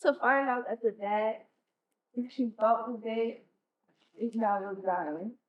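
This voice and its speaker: A woman's voice: fairly high pitch, takes it slowly, muffled recording. A woman playing a hurt, defiant teenage schoolgirl in an emotional, accusatory stage monologue. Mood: bitter, accusing. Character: hurt, defiant 16-year-old schoolgirl confronting her mother. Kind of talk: stage play acting.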